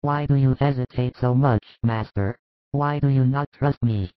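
A man's voice: medium pitch, moderate pace, clear, resonant tone, no room sound, close to an everyday voice. A man voicing an eager, insistent disciple who pleads for trust in a storybook reading. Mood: insistent pleading. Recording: thin phone-like band, dry.

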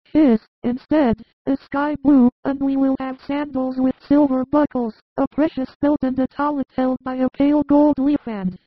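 A woman playing a high-voiced young boy. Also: fairly even intonation, moderate pace, few pauses, no echo, narrow, tinny audio, faint music behind, lightly acted. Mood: haughty, boastful. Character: young boys, polite or haughty, in a Bible story. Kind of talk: dramatized religious audiobook.

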